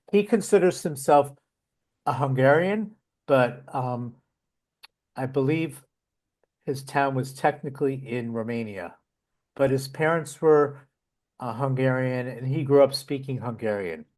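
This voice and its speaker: A man's voice: mid-range voice, measured pace, darkish tone. A man, a guest volunteer, talking casually in an interview, with a rambling delivery full of fillers and restarts.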